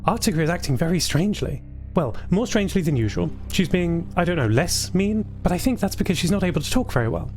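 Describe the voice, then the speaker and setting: A friendly, fretful female AI , acted out by a man chatters in a high voice. She rambles on in audio-drama style, with music behind her. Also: lively intonation, fast, a little mellow. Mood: worried, friendly.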